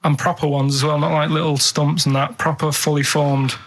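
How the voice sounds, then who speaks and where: Earnest man, slightly high voice. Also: level tone, brisk. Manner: earnest, matter-of-fact, hesitant storytelling. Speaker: radio show regular. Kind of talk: casual rambling anecdotes. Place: radio studio.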